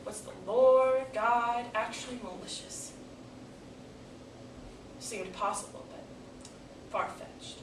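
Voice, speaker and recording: Echoey room, faint noise, woman playing grieving daughter, stage monologue, bitter questioning of God, dramatic pauses. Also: medium pitch, close to an everyday voice. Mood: quiet disillusionment. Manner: deliberate, dramatic pauses, swelling from hushed to pleading. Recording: echoey room, distant mic, background noise.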